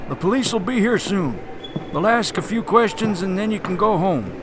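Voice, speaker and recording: Museum guard played by a man: everyday, highish voice, matter-of-fact, audio drama, clear noise behind. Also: expressive, moderate pace. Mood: brisk, authoritative. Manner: plain, casual, businesslike.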